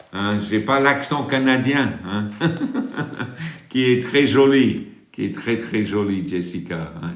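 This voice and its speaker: British-accented preacher singing slow, echoey hymns. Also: male voice, deep voice. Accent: British English. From England. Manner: drawn-out sung hymns, brisk chatty asides to viewers. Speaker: preacher and worship leader. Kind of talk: livestream worship singing and chatting with viewers.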